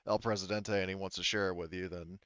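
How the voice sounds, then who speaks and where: A man with a low, clean, resonant voice runs a tabletop roleplaying session. He explains things in a casual way. The sound is dry, close and slightly muffled. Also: level tone, fast talker, a bit bright, clear, resonant tone, no room sound. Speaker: game master.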